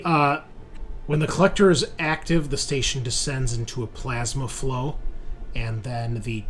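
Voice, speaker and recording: A man acting as game master, casually narrating and explaining details at a tabletop roleplaying session. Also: medium pitch.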